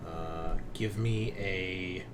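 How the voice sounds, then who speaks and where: A man's voice: low voice, very slow. Man game-mastering over noticeable noise. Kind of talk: narrating a tabletop game.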